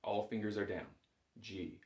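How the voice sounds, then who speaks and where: Low-voiced man teaching, roomy sound. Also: steady tone, measured pace, darkish tone. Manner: patient, encouraging, step-by-step. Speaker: bagpipe instructor. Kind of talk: instructional music lesson, conversational. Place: small home music room, indoors. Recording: roomy indoor echo.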